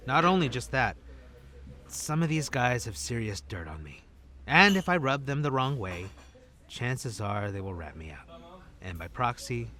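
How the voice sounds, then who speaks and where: An actor playing a brash, wisecracking male secret agent with a street past, dramatic and slangy in an audio drama. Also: lowish voice.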